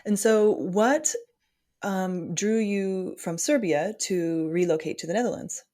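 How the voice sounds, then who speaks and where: A woman's voice: mid-range voice, unhurried, warm tone. A woman hosting a podcast interview, asking questions in an informal, inquisitive, conversational way.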